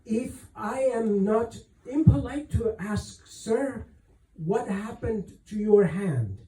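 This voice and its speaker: A man reading aloud plays a young storybook woman, polite and full of questions. The voice is high for a man and unhurried, in an echoey space. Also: lively intonation, mellow, recorded from a distance. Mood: curious. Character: curious, polite young woman passenger on a ship.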